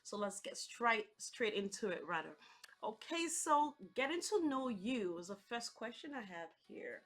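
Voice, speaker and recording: A woman's voice: a little high, very animated, unhurried, fairly bright tone. A woman hosting an interview in a friendly, chatty style with an effusive, encouraging manner. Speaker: host.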